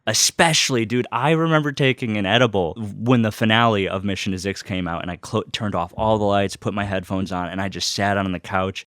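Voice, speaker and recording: Gushing, low-voiced man in a dry recording. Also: a little fast, hardly any pauses, fairly bright tone, dry recording. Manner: gushing, enthusiastic fan talk with repetition and swearing. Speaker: host of a personal video series. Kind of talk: casual unboxing chat.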